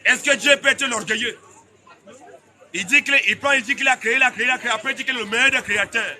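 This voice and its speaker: A man's voice: very high voice, quick pace, sharp tone, some chatter behind. A man preaching in the open air on a city street, speaking French as a second language, emphatic and repetitive, challenging the passers-by. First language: another language, French spoken as second. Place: busy city street outdoors. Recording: street noise behind.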